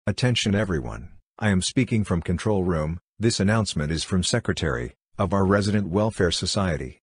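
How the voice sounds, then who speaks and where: A man's voice: sits low, animated, a little fast, husky, dry recording, heavily acted. A man playing a formal, polite intercom speaker in a scripted demo.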